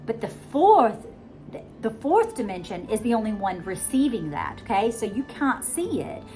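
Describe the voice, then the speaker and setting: A woman's voice: on the high side, very animated, normal speed, a little mellow, faint music behind. A woman from the United States with an adopted British accent, speaking in a rambling, emphatic, conversational way as she gives a casual self-help monologue. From United States, born in Georgia. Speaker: vlogger, self-help coach.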